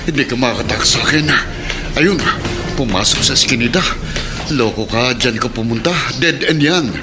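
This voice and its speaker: A man acting in a Filipino radio drama as a gruff, short-tempered policeman who scolds with contempt. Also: medium pitch, moderate pace, natural voice. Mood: angry, chasing. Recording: loud music under the voice.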